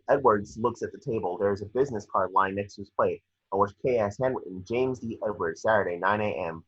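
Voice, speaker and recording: Man as table-read narrator, husky and low, scripted action lines, muffled, close dry home audio. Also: sharp tone, dry recording. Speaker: narrator reading action descriptions. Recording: dry, dull lo-fi home recording.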